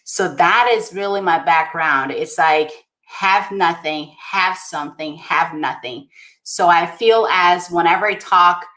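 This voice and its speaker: A woman's voice: on the low side, measured pace, sharp tone. Brazilian-accented woman telling her story. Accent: Brazilian Portuguese. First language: Portuguese. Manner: earnest, often asks "right?", repeats phrases for emphasis. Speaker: guest author. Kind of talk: personal story on a talk show.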